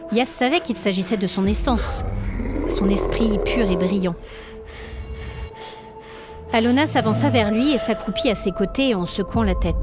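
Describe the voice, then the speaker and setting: A woman, giving dramatic scripted narration of a supernatural story. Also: mid-range voice. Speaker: narrator and podcast host. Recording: music bed under narration. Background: music.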